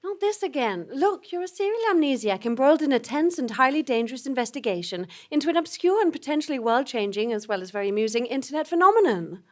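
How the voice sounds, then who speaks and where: A woman's voice: high voice, big pitch swings, fast, hardly any pauses. A woman playing an exasperated, urgent hacker informant in a comic audio drama, weary and portentous.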